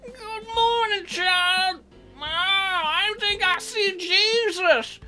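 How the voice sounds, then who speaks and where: A performer voicing a dreamy mother in a dating sim game, with exaggerated, drawn-out speech. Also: very high voice, sharp tone, soft background music, voice pushed up, heavily acted.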